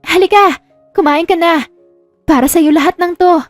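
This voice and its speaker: A woman's voice: very high voice, normal speed, no echo, faint music behind, pitched above the performer's own voice. A woman playing an eager, nervous young wife who speaks sweetly and coaxingly in a romance audio drama. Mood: sweet, insistent.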